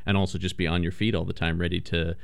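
A man's voice: sits low, little pitch movement, quick pace, creaky, clean tone, no room sound. A man who acts in a radio drama, chatting in an interview with many fillers and restarts. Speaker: radio drama cast member. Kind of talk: casual interview chat.

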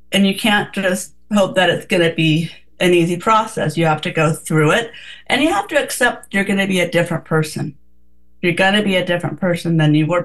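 A woman's voice: fairly low pitch, a bit bright, rough recording. A woman co-hosting a casual radio talk, speaking earnestly and reflectively with many restarts.

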